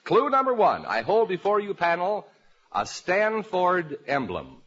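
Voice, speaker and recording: A man's voice: high-pitched, wildly swooping pitch, unhurried, fairly bright tone, slightly rough. A man hosting a mid-century radio panel quiz, announcing in a formal, theatrical way with joking asides. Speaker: quiz show host. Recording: dull old radio recording.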